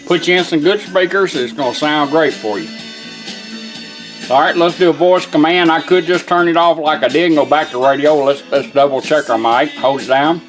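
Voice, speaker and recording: A man with a slightly raised pitch gives a hands-on demonstration of a product. His phrasing is informal and folksy, like a tradesman showing off his work. Guitar music plays faintly behind him. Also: moderate pace, low-quality recording. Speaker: product demonstrator, car radio builder.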